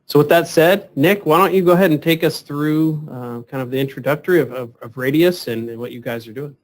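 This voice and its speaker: A man hosting a webinar, introducing a product in a halting way with many fillers and repeated words. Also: on the high side. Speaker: webinar host. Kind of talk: semi-scripted product introduction.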